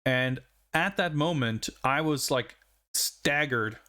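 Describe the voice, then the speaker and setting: A man's voice: mid-range voice, slow, bright, forceful tone. A man in his forties from Sweden, a native Swedish speaker, chatting casually on a game stream in a rambling, reflective way, chuckling often. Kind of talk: casual live-stream chat.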